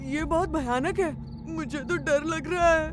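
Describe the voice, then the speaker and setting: A woman's voice: high voice, unhurried. A woman voicing a timid little boy in a children's cartoon, childlike and pleading. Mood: frightened, pleading.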